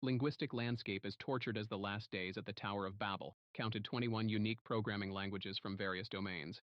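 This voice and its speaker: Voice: sits low, steady tone, a little fast, no echo, dull audio, close to an everyday voice. A performer voicing a jaded technical investigator who narrates case notes in a clipped, literary, disdainful way. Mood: dry disdain. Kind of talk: scripted narration, analog horror log.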